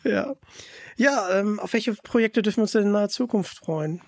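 A man's voice: high-pitched, normal speed, gravelly, a little mellow, dry recording, studio quality. A man hosting a podcast interview in a casual, chatty way. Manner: informal, chatty.

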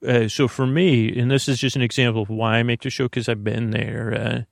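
Male American podcast host for sleep monologue: creaky, dry studio sound, rambling, hesitant, drifting delivery. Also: medium pitch, level tone, no echo. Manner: meandering, halting, keeps trailing off. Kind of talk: rambling bedtime monologue to help listeners sleep.

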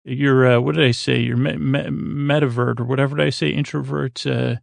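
An American man hosting a bedtime sleep podcast in a creaky, lulling tone, rambling and halting as he stumbles over his words with plenty of fillers. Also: mid-range voice, fairly even intonation, no room sound. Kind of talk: rambling bedtime monologue meant to lull listeners to sleep.

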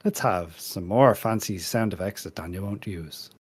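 A man's voice: low-pitched, moderate pace, loud music behind the voice. A man hosting a casual panel podcast, introducing segments in a dry, chatty way. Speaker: podcast host.

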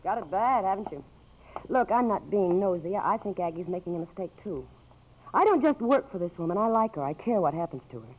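A woman's voice: fairly low pitch, sing-song, brisk, slight background noise. An actress with an American accent playing a frank, earnest, principled woman in a 1950s radio drama. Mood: frank, concerned. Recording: dull vintage 1950s radio broadcast.

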